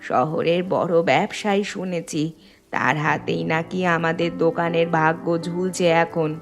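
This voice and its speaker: A woman voicing a practical, soothing middle-aged village mother in a cartoon story, gently bossy. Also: fairly low pitch, steady tone, normal speed, gravelly, soft background music. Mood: gentle, practical.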